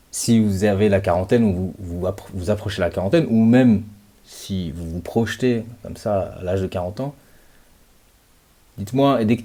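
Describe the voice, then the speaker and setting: A man in his late thirties speaks in a low, mellow voice, slow and reflective. He talks straight to his audience, pausing often and drawing out key words. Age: 30s. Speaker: channel host. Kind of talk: reflective monologue to camera.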